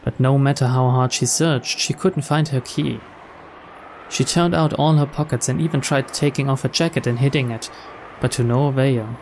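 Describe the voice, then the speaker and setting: A man's voice: medium pitch, fairly even intonation, normal speed, a little hiss or hum, rough recording. A man reading a visual novel's story aloud as scripted narration. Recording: soft music behind the voice.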